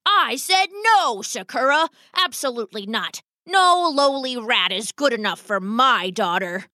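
A woman's voice: on the high side, animated, unhurried, bright, forceful tone, dry recording, studio quality. A woman voicing a stubborn, doting old father rat with theatrical, emphatic bluster in a children's story. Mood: firm, blustering.

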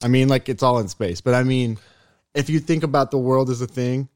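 A man's voice: mid-range voice, steady tone, brisk, no echo, studio quality. A young man co-hosting a casual comedy podcast, playful and teasing, with mock-boastful jokes and rambling tangents. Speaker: podcast co-host.

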